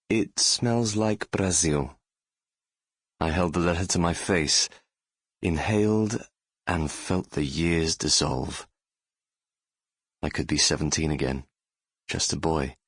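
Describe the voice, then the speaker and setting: Deep-voiced, regretful middle-aged man. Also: breathy edge, no echo, studio quality, full character voice. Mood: nostalgic. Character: middle-aged Brazilian-born London doctor, nostalgic and regretful. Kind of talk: first-person audiobook narration.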